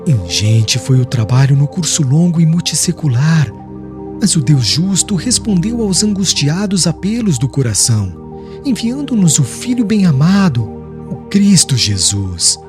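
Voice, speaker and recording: Man acting out a devout young male preacher, higher-pitched, eloquent, solemn, firm, declamatory, audiobook oration, music behind. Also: sing-song, measured pace. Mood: solemn exhortation.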